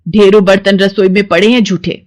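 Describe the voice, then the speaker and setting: A woman's voice: medium pitch, level tone, a little fast, a little mellow, natural voice. A woman voicing a fussy middle-aged mother-in-law in a cartoon story, who complains and scolds. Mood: grumbling.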